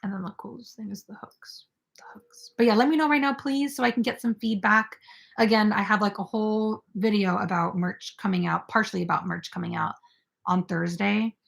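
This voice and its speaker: A woman's voice: mid-range voice, fairly even intonation, a little fast, vocal fry. A young woman hosting a casual crochet live stream, chatting with viewers and filled with "like" and "um". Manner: chatty, filler-heavy, reads viewer comments aloud.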